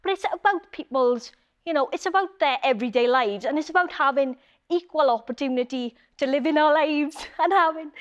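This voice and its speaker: A woman with a high voice answers questions as an interview guest. She speaks in a conversational but earnest way, like a campaigner making her case. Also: a little fast, fairly bright tone, dull audio.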